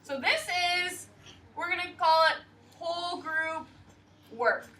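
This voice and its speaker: A woman teacher leading a class of young children, slow and emphatic, asking the class questions. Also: very high-pitched, sharp tone. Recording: distant classroom mic, faint noise.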